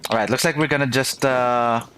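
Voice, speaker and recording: A game streamer giving playful, excitable playthrough commentary, often repeating phrases in excitement. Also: mid-range voice, fairly quick, slight background noise.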